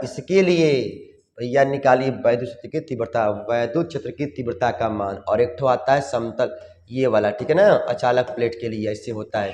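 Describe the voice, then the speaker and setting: A slightly hoarse man, teaching a lively physics exam revision lesson with folksy coaching and constant tag questions. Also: mid-range voice. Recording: roomy echo, long reverb.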